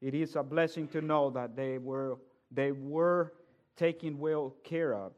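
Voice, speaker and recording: A man preaches a sermon as a visiting preacher. His voice sits a little high for a man. He talks earnestly, dropping low for personal remarks and rising loud and emphatic on scripture. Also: steady tone, a little slow, dull audio. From El Salvador. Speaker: guest preacher.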